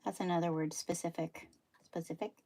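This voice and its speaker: A woman's voice: lowish voice, steady tone, a little fast, dark tone. A woman with a Dutchy accent, chatting casually and poking fun at herself while she demonstrates sewing at home. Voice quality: chatty, self-deprecating, talks while working. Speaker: sewing livestream host. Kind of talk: casual sewing demo chat.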